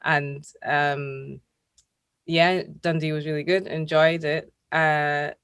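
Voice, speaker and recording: A Scottish woman in her thirties, a dermatologist guest on a video call, friendly and quick to laugh. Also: low-pitched, flat delivery, fairly bright tone, smooth, no echo, studio quality. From Scotland. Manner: friendly, laughs easily, quick agreeing asides. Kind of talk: casual interview chat.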